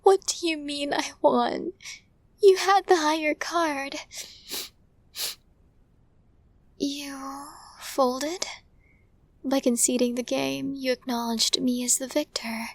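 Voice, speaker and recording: A woman playing a possessive, lovestruck yandere crime boss in an intimate ASMR audio roleplay, speaking in a breathy, deliberate, formal way. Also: high-pitched, fairly even intonation, no room sound. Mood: distraught. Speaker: voice actor in romantic audio roleplay.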